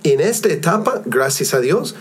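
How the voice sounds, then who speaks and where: A man hosting a discussion among missionaries, calmly explaining ideas and asking his guests questions. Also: on the high side, moderate pace, a crowd in the background. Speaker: podcast host, missionary. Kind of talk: hosted discussion.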